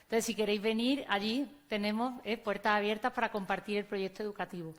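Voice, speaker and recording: A woman's voice: medium pitch, steady tone, fast talker. A woman from Córdoba with an Andalusian accent, a teacher giving a conference talk and speaking to the audience in a conversational way. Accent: Andalusian Spanish. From Córdoba, Spain. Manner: conversational, addresses audience directly. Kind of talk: conference presentation. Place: conference venue on stage.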